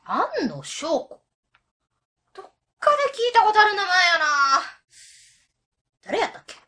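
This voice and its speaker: Nosy middle-aged Kansai auntie, mocking, very high and hugely animated, in radio comedy. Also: female voice, measured pace, heavily acted. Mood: suspicious, scornful. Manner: blunt, mocking. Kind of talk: comic web radio drama acting.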